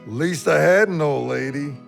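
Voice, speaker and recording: An actor in an audio drama playing a big, dim, soft-hearted sidekick with a guileless, slangy way of talking. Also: male voice, a little high, normal speed, gravelly, faint music behind. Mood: slow, mild. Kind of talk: gritty contemporary audio drama dialogue.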